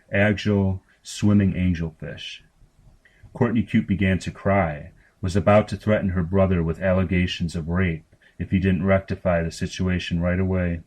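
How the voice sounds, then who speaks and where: A man's voice: sits low, darkish tone, rough recording. A man reading scripted narration of comic grotesque fiction. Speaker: story reader.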